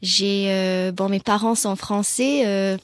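A woman's voice: medium pitch, fairly even intonation, takes it slowly, no echo. A woman in her thirties, born in France and raised in Australia, speaks with an Australian accent as a festival organizer in a radio interview. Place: radio studio.

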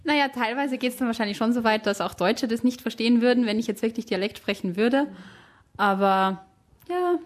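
A woman's voice: fairly high pitch, expressive, a little fast. A young woman from Tyrol with a light Austrian accent, a German teacher answering interview questions in a chatty way, often correcting herself. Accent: Austrian, Tyrolean-tinged standard German. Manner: chatty, self-correcting, many fillers.

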